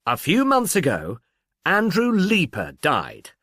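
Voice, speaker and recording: Man voicing testy literature professor, witty, brisk, emphatic, very animated pitch, dry storybook recording. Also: breathy edge, no echo, full character voice. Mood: gloomy, dogged. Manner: brisk, exasperated, dryly emphatic. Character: Fen, irritable, witty male professor of English literature. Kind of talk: audiobook character dialogue.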